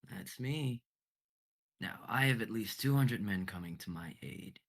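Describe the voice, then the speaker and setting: Man doing a very deep, gloating villain leader. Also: lively intonation, a little slow, vocal fry, heavily acted. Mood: gloating, taunting. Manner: taunting, menacing.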